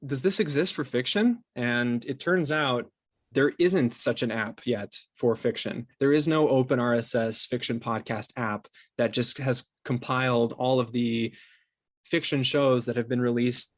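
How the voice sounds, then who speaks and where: A man being interviewed as a podcast producer, explaining things conversationally in a halting way with many restarts. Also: mid-range voice, no echo, clean studio sound.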